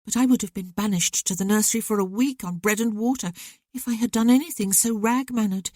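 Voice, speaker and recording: A woman reading as an earnest, talkative young woman with a voice lifted well above the reader's own, rising and falling eagerly. The tone stays mellow and the recording is close and dry, with no room sound. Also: medium pitch, brisk, no room sound, pitched above the performer's own voice, lightly acted. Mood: earnest, wistful. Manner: lively, talkative, candid. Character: outspoken young woman, sensitive, earnest sister.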